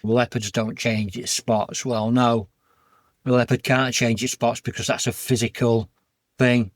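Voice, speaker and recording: A man's voice: medium pitch, little pitch movement, unhurried. A man with a Yorkshire accent, a podcast guest speaking earnestly and plainly about his life. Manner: earnest, heartfelt, plain-spoken. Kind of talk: candid personal interview.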